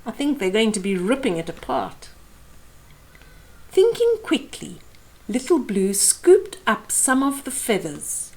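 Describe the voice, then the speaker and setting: Slow, animated woman reading to children. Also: a little high, rough recording. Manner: deliberate, explaining pictures to young listeners. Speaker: children's storytime host. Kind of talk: expressive picture-book read-aloud for children. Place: small indoor room.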